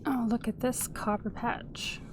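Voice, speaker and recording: A woman's voice: a little high, fairly even intonation, unhurried, darkish tone, a little hiss or hum. Sniffly woman chatting through a gameplay video. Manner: chatty, hums and sings little tunes while playing. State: sniffly from a cold. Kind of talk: casual let's-play commentary.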